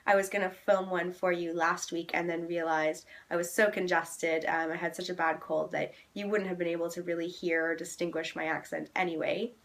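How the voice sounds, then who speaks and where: A woman's voice: lowish voice, level tone, fairly quick, few pauses. A young woman from Canada with a Canadian English accent, chatting casually to camera in a friendly way with frequent ums. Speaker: vlogger.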